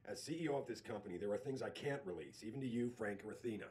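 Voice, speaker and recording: An actor playing an authoritative, evasive company CEO in a video game, persuasive and in command. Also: male voice, lowish voice, racing, a little mellow, close to an everyday voice. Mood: firm, guarded.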